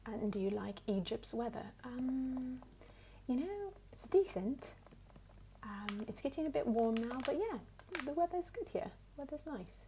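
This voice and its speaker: A woman speaks in a put-on English accent, soft and sometimes slipping into a whisper. Her voice is mellow, with plenty of vocal fry. She hosts ASMR question and answer talk at an unhurried pace, with faint noise and clicks behind her. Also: high-pitched, lively intonation. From United States. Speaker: ASMR video host.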